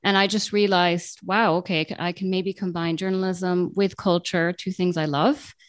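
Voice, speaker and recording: A woman's voice: on the low side, steady tone, moderate pace, clear, resonant tone, dry recording, studio quality. A woman in her late twenties, raised between Italy, the US and Ireland, answering interview questions in a chatty way with many ums and self-corrections. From born in Italy, raised in Italy, the US and Ireland. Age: late 20s. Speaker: guest, translator and radio journalist.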